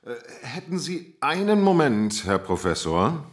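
An actor playing a polite but persistent institute boss in a radio play, formal and slightly hesitant. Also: male voice, mid-range voice, sing-song, a little slow. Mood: awkward, insistent.

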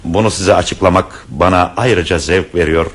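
A man's voice: low-pitched, moderate pace, slightly rough. An actor playing a hesitant middle-aged professor and father in a radio play, stammering with shyness. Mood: earnest. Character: flustered middle-aged male professor, shy suitor.

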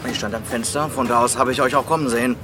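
A man's voice: mid-range voice, steady tone, fast talker, heavy background noise, close to an everyday voice. A man playing a detective's blunt, talkative buddy in a crime radio drama, colloquial and needling. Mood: matter-of-fact.

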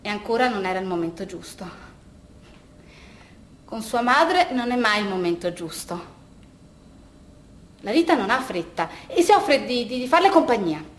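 High-voiced woman storyteller in an echoey room. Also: lively intonation. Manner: dramatic, halting repetitions. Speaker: monologue performer. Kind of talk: theatrical monologue storytelling. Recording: very echoey room with faint noise.

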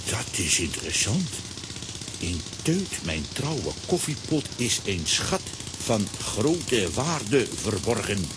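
An actor playing a sly, excitable villain who mutters and repeats words theatrically in a children's audio drama. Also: male voice, on the low side, background noise. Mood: reading eagerly.

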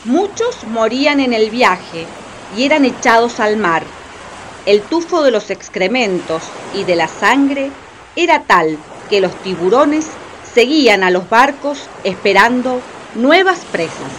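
A woman's voice: on the high side, big pitch swings, a little slow, fairly bright tone, a little hiss or hum, poor audio. A woman reading scripted dramatic narration for a radio play.

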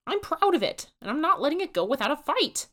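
Woman doing a high, drawling diner owner. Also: sing-song, fast talker, fairly bright tone. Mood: defiant. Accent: Southern US drawl. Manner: high, emotional, earnest. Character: cheerful middle-aged woman diner owner.

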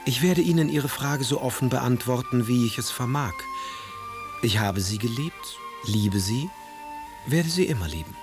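An actor playing a passionate, melancholic and sardonic Romantic poet in a literary radio drama, with a husky voice. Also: male voice, medium pitch, animated, unhurried, over music. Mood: confessional, anguished. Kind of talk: literary radio drama, reading letters aloud.

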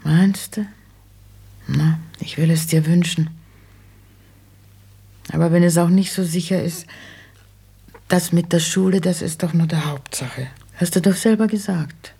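An old mother in a radio play, money-minded and insistent. Her voice is badly husky, with a gravelly creak. She speaks in plain, halting colloquial phrases with a plaintive pull. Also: on the low side, dark tone, exaggerated voice. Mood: probing, insistent. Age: older. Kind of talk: German radio play acting.

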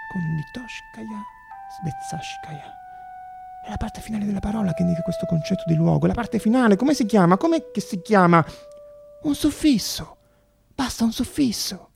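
A man playing an excitable, obsessive young student, muttering to himself and bursting into exclamations in a theatrical monologue. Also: high-pitched, moderate pace, gravelly, music in the background, voice pushed up. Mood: pondering, curious.

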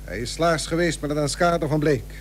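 Man acting high-pitched, grave Dutch merchant statesman. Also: close to an everyday voice. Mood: urging. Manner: formal archaic diction, measured, earnest. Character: Lampsens, grave 17th-century merchant shipowner and statesman, persuasive. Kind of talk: Dutch historical radio play acting. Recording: old radio recording with hum and hiss.

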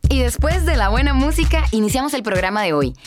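A woman presents a youth radio show in a fairly high voice. Her delivery is scripted and upbeat, with music clearly playing underneath. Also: big pitch swings, fast talker. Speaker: radio programme host.

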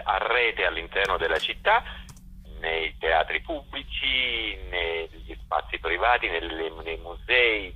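A man explaining a programme as a festival director in a radio interview, measured and pausing between items, over a telephone line. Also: low voice, slightly rough.

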